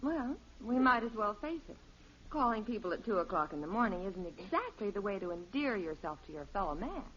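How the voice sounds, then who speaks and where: A woman's voice: medium pitch, very animated, fairly quick, lightly acted. An actress playing a sensible middle-aged American wife and mother, dryly chiding and patient, in a 1950s radio sitcom. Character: sensible, gently scolding suburban wife and mother. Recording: muffled, faintly noisy old radio recording.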